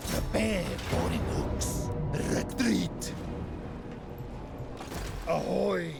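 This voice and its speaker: An actor voicing a menacing undead sea captain barking orders in a fantasy video game. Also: male voice, sits high, wildly swooping pitch, extremely slow, vocal fry, heavily acted. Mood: commanding. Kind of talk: video game unit voice barks. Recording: loud game music over the voice.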